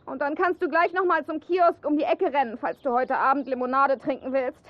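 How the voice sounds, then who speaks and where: Very high-voiced, exasperated mother, played by a woman. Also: fairly even intonation, fairly quick, dry recording, muffled recording, close to an everyday voice. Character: exasperated but kind mother. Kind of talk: children's radio play acting.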